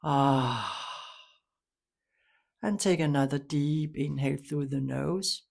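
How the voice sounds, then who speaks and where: A woman leading a guided meditation, giving soft instructions in a calm, soothing, unhurried way with long pauses. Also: sits low, vocal fry.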